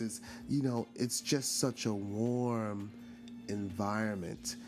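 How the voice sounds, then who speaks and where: A man's voice: lowish voice, darkish tone. A man in his forties from Brooklyn, hosting a casual storytelling monologue, chatty with lots of fillers and restarts, often turning to ask his listeners questions. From Brooklyn, New York. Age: 40s. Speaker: podcast host.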